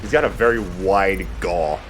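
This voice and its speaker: A man with a fairly low voice hosts a let's play in a casual, jokey way. He rambles conversationally as he comments on the game, with a little noise behind him. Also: normal speed.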